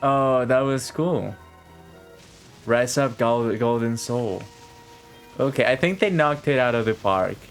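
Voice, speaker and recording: A man's voice: mid-range voice, slow pace, pauses often, faint music behind. A man streaming a game with casual, rambling commentary, repeating words and swearing now and then. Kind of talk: casual live gameplay commentary.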